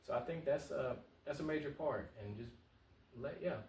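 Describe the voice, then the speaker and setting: A man's voice: fairly high pitch, moderate pace, a little mellow. A man giving casual, passionate answers as a political candidate being interviewed, informal and repeating phrases for emphasis. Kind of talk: casual, earnest talk-show interview answers. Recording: roomy echo, distant mic.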